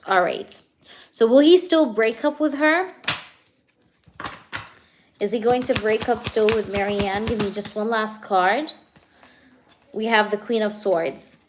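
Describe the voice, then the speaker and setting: A woman doing a live tarot reading for a viewer. She talks in a chatty, energetic way, full of "you know" and "okay", and keeps checking whether things make sense to the viewer. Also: a little high. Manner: addresses the viewer directly, asks if things resonate. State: hyper, energetic. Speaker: tarot reader.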